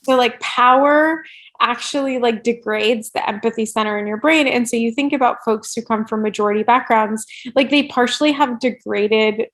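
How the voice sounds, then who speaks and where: A woman's voice: on the high side, moderate pace, a bit bright. A woman giving conversational interview answers as an expert guest, informal, often dropping "like" and "right" into her sentences. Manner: informal, peppers speech with "like" and "right". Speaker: podcast guest, workplace inclusion expert.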